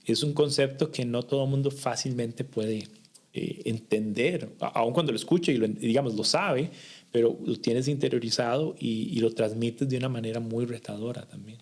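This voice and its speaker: A man hosts a faith podcast interview and affirms his guest with short replies. He often stumbles and repeats words as he builds a question, and his voice sits at an ordinary middle pitch. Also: moderate pace, a little mellow. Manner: affirming, with frequent stammered restarts. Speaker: podcast host.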